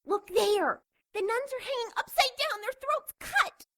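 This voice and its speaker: Terrified nun, horror audio drama, very high piercing voice, swooping panic, dry sound. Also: female voice, expressive, unhurried, fairly bright tone, dry recording, exaggerated voice. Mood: horrified. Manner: shrieking, panicky, breathless outbursts. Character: terrified young nun fleeing flesh-eating creatures.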